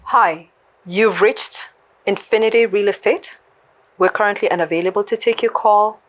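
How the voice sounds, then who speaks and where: A woman's voice: medium pitch, moderate pace, muffled recording, close to an everyday voice. A woman voicing a polite, formal office voicemail greeting. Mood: polite, businesslike.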